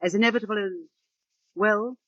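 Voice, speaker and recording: An actress playing a resigned school housekeeper who gossips with feigned reluctance, in 1940s radio style. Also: female voice, medium pitch, steady tone, brisk, fairly bright tone, a little hiss or hum, natural voice. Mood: coy, resigned. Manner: hesitant, insinuating. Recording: dull restored 1940s radio recording.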